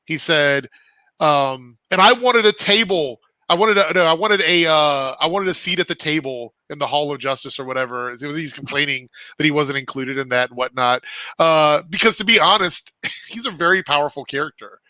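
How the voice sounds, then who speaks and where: A man's voice: fairly high pitch, normal speed, pushed and bright, dry recording. A man co-hosting a casual chat show, rambling with lots of filler words. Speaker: co-host.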